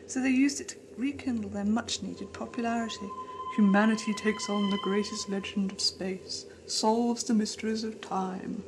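A woman's voice: medium pitch, fairly even intonation, moderate pace, lightly acted. A woman playing a wry, cynical scientist aboard a spaceship in a science-fiction audio drama, with a dry, sardonic manner. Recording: noisy amateur 1980s audio drama tape.

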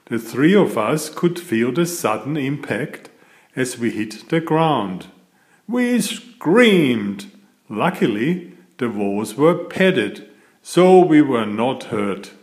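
A man reading a children's picture book aloud in a deliberate, expressive storytelling manner. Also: medium pitch. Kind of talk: scripted children's storybook narration.

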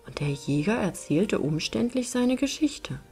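A woman narrating a fairy tale as an audiobook reader, in an expressive storytelling style. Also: medium pitch, unhurried, gravelly, soft background music.

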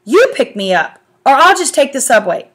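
A woman voicing a headstrong fifteen-year-old girl, raised and bright in tone. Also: high-pitched, very animated, normal speed, slightly rough, pitched above the performer's own voice, full character voice.

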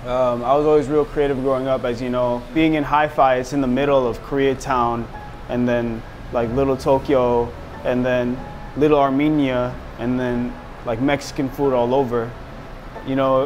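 A man's voice: medium pitch, flat delivery, normal speed, darkish tone, faint music behind, rough recording. A man in his thirties who owns a restaurant, chatting in a laid-back way full of slang and fillers, recorded in a small restaurant. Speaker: restaurant owner. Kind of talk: casual conversation. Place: small restaurant with a painted mural wall.

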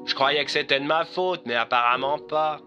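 A man voices a weary, sardonic police operator in an audio drama. The voice is high-set, extremely sharp and forceful, curt in delivery, with a slightly muffled band-limited sound. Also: moderate pace, soft background music. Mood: sour, sarcastic. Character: sour, sarcastic, weary police switchboard officer.

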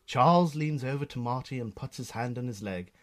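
Male narrator, Northern Irish accent, medium pitch, scripted radio play storytelling. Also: a little fast, a bit bright.

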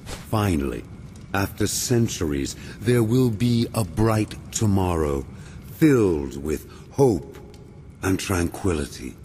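An actor playing a grandiose, ancient villain mastermind in a video game, speaking in pompous, measured, formal oratory. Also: male voice, sits low, lively intonation, mellow, a little hiss or hum. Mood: visionary fervor. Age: older.

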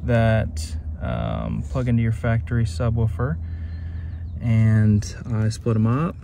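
A man's voice: mid-range voice, animated, takes it slowly. A man giving a casual, informal hands-on DIY walkthrough, recorded on a handheld phone inside a parked truck. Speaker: DIY video presenter. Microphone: handheld phone camera. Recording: clear background noise, vehicle ambience.

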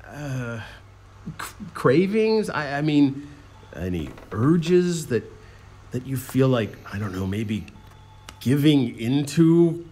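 A man playing a cautious, awkward male TV reporter in an animated film, halting and probing. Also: on the high side, heavily acted. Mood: uneasy.

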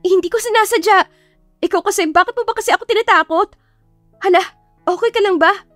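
A woman's voice: very high-pitched, fast talker, fairly bright tone, dry recording, voice pushed up. A woman voicing a naive, feisty young bride in an audiobook, stammering and exclaiming shrilly. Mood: innocent concern. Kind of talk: audiobook character acting. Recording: music behind the voice.

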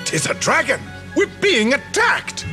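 An actor voicing a formal, deferential fairy retainer, earnest and excitable, in a fantasy video game. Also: male voice, extremely high pitch, unhurried, faint music behind, full character voice. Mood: urgent, alarmed. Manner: formal, respectful. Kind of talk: scripted video game voice acting.

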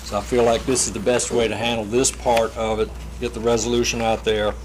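A man serving as a county commissioner, giving measured, matter-of-fact procedural remarks in a meeting room. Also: medium pitch, flat delivery. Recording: noisy meeting-room recording.

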